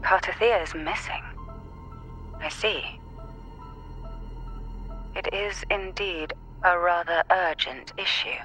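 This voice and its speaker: A woman playing an elegant, mysterious noblewoman in a video game, poised and formal, with a teasing air and drawn-out phrasing. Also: fairly low pitch, steady tone, bright, forceful tone. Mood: composed, explaining. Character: elegant, teasing, mysterious aristocratic lady of a castle. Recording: faint music behind.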